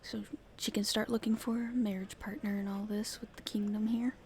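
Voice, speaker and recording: A woman playing a cheeky young street thief in an ASMR roleplay, chatting casually and haltingly to the listener. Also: mid-range voice, flat delivery, a little mellow, a little hiss or hum, lightly acted. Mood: hesitant, evasive. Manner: casual, halting speech with hesitations.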